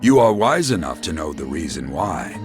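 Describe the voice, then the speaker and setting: An enigmatic game guide speaks in a low, theatrical voice that swoops widely. His words are archaic and grandiose, knowing and faintly taunting, set over clear background music. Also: big pitch swings, normal speed. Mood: musing, taunting. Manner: formal archaic diction, portentous. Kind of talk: dramatic video game cutscene acting.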